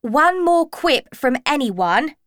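A woman voicing a stern, awkward male high school history teacher, clipped and formal, in an audiobook. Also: sing-song, slow pace, fairly bright tone, no room sound, clean studio sound, heavily acted.

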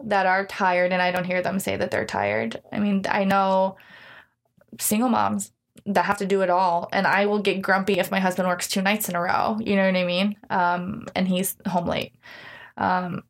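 Candid woman in her thirties. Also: mid-range voice. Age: 30s. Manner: candid, reflective, self-deprecating. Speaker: gym owner being interviewed. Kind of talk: casual conversational interview.

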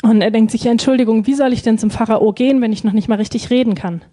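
High, mellow woman interpreting a sermon. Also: fast. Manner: hesitant, feeling for words with frequent ums. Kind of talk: live consecutive interpreting of a sermon.

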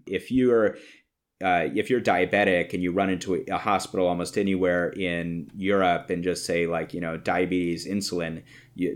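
A podcast host with a distinctly low male voice. He talks in a reflective, conversational way and tends to explain things through anecdotes. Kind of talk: conversational interview.

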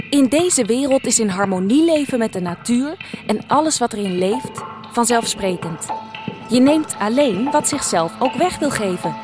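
A woman's voice: a little high, a little mellow. A woman announcer giving a scripted narrated introduction to a radio drama. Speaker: series announcer.

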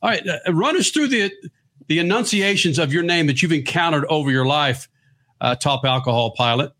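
Lively-pitched boisterous male host. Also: normal speed. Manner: loud, slangy, exclamatory. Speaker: radio show host. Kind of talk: boisterous talk show interview.

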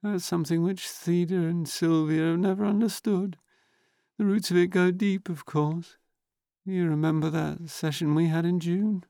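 A man's voice: high-pitched, steady tone, moderate pace, mellow, dry recording, clean studio sound, voice pushed up. A man voicing a self-absorbed male therapy patient with an affected, self-important way of talking. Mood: self-absorbed, musing. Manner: affected, self-regarding, raised above his normal tone.

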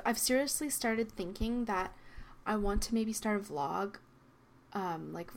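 Soft-spoken young woman podcast host. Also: mid-range voice, slight background noise. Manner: soft, reflective, with frequent ums and likes. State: slightly losing her voice. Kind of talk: solo podcast monologue. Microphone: podcast microphone.

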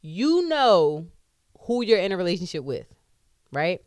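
A woman's voice: lowish voice, sing-song, a little slow, darkish tone, dry recording. American woman, 30s, podcast co-host. Emphatic, slangy, profane, casual chat, close dry sound.

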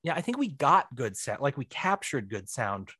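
A man chatting as an interview guest, wry and self-deprecating, often halting as he explains things. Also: medium pitch, sing-song, sharp tone, breathy edge, dry recording, clean studio sound. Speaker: podcast guest, writer and director. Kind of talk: casual conversational interview.

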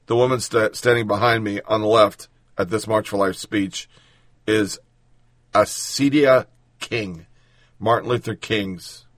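A man's voice: medium pitch, a bit bright, no room sound. A man hosting political commentary, reading news and then ranting bluntly with sarcasm and profanity.

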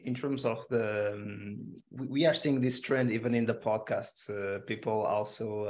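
A man hosting a business podcast, with a medium-pitched voice and an upbeat delivery. His questions wander a little, with plenty of fillers. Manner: upbeat, loose phrasing with many fillers. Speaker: podcast host. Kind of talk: casual interview hosting.

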